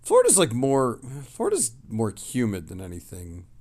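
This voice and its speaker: A man casually hosting a livestream, reading messages aloud and replying in a rambling, halting way that trails off. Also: medium pitch, huge pitch swings, a little mellow.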